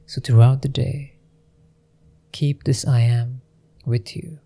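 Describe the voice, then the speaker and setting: A man leading a guided meditation in a gentle, unhurried manner with long pauses. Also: medium pitch, flat delivery, gravelly, slightly rough.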